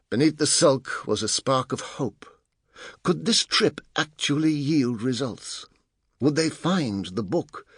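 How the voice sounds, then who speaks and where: A man reads a novel aloud as a dramatic storyteller. His delivery is measured and expressive, with pitch that rises and falls widely. The recording is dry, with no room sound. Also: dry recording. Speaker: audiobook narrator. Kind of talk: scripted dramatic storybook narration.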